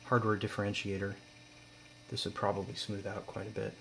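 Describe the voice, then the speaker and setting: Young male student presenter, even monotone, informal and explanatory, faint mains hum behind. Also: lowish voice, fairly quick, gravelly, poor audio. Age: young adult. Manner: matter-of-fact, explanatory. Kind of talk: informal demonstration narration. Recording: steady mains hum, background noise.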